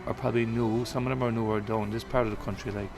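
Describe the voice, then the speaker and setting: Even-toned with music behind. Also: male voice, lowish voice, fast. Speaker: local sports interviewee. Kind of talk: documentary interview.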